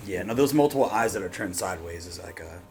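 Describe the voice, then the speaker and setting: Deep voiced dude reacting casually, swears a bit, music playing behind him. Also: male voice, animated, fast talker, darkish tone. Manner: informal, sweary. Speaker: reaction show host.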